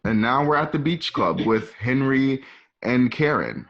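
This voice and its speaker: A man's voice: medium pitch, animated, measured pace, dull audio. A man narrating scene directions informally, with casual conversational asides. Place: at home.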